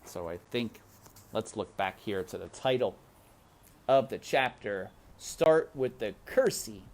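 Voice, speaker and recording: A woman's voice: extremely low pitch, darkish tone, a little hiss or hum. A woman storyteller reading a children's book aloud expressively, with chatty asides.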